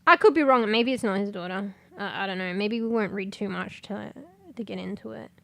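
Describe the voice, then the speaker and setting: A congested woman chatting casually on a livestream, rambling, swearing and breaking into sudden loud exclamations as she reads things aloud. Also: medium pitch, brisk, gravelly. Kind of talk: casual stream chat, reading aloud.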